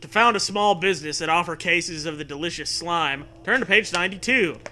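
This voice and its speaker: A man's voice: a little high, brisk, bright, forceful tone, a little hiss or hum. A man reading a comic adventure story aloud in a theatrical, amused way, slipping into casual joking. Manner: theatrical, amused, breaking into riffs and swearing. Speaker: host reading aloud a choose-your-own-adventure parody.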